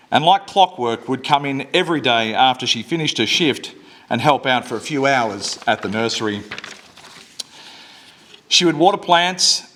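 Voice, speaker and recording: A man's voice: mid-range voice, fairly bright tone. A man giving a funeral speech in church, plain-spoken and lightly wry, at a lectern microphone. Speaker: eulogy speaker. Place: church service.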